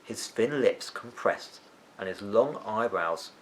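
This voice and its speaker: A man narrating a children's story as an expressive reader. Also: lowish voice, slow, a little hiss or hum, low-quality recording.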